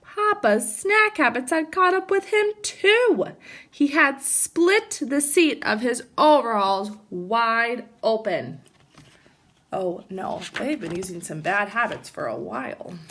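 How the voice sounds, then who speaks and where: Woman, teacher, storybook read-aloud, fairly high pitch, lively intonation. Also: slow. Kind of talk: animated children's storybook narration.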